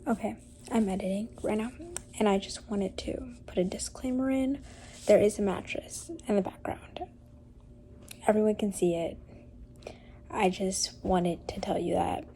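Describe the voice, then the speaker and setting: A woman's voice: medium pitch, steady tone, unhurried, pauses often, mellow. A sick, congested woman recording a casual voice-over aside while editing, chatty and mildly exasperated. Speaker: video editor voice-over. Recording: faint background noise.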